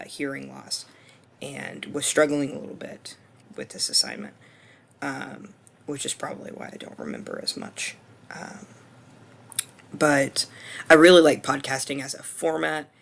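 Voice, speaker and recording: A hoarse man in his twenties with a deeply gravelly, fry-laden voice at an ordinary pitch for a man. He talks through his course screencast in a loose, hesitant way, stopping for ums and restarting his sentences. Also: lively intonation, measured pace, a bit bright. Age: 20s. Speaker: student.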